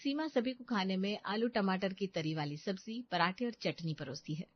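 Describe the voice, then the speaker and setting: A woman's voice: medium pitch, normal speed, muffled recording. A woman narrating a scripted family story as a storyteller.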